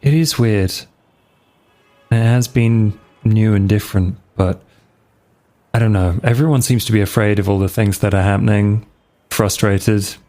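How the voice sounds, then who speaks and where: A man's voice: lowish voice, fairly even intonation, measured pace, pauses often, warm tone. A man playing his character in a tabletop game, talking casually and hesitantly. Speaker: player. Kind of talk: in-character roleplay dialogue.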